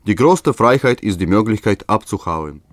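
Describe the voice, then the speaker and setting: A man from Russia reading scripted narration in a measured storytelling manner. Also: on the low side, expressive, over music.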